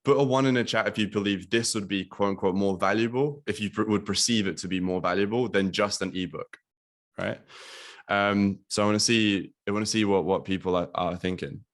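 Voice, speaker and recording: A man's voice: lowish voice, fairly even intonation, a little fast. A young man from Latvia, speaking as a guest business coach on a video call, casual and full of ums and likes, swearing now and then.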